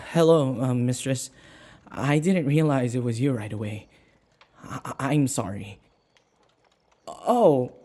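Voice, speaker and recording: A man's voice: fairly high pitch, warm tone, heavily acted. A man playing an anxious human servant held captive by a vampire mistress in an audio roleplay, hesitant and stammering. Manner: hesitant, stammering, anxious, with sighs and muttered asides. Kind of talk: audio roleplay acting, spoken to the listener.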